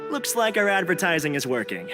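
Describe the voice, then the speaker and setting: A man's voice: on the high side, sing-song, brisk. A man voicing a courteous, earnest young academic organizer in a video game, speaking in a polite, formal, explanatory way. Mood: polite, informative. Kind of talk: video game character acting. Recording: long reverb, music behind.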